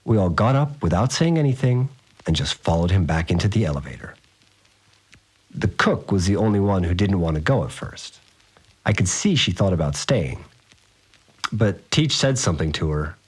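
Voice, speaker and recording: Deep rattled survivor dude, slangy sweary audio diary, rambling and animated, music behind, podcast drama. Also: male voice, husky, full character voice. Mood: awed, tense. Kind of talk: casual confessional audio-diary monologue.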